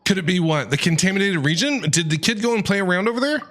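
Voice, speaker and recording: A man commentating on a game as a streamer, chatty and reacting aloud with rapid questions. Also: sits high, animated, fairly bright tone.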